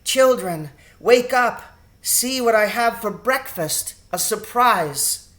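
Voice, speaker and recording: A brisk mother mouse from a storybook, played by a male reader. The voice is lifted somewhat in pitch, with a caring, firm way of addressing the young. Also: lively intonation, fairly bright tone, exaggerated voice. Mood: cheerful, calling. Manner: cheerful calling, motherly firmness.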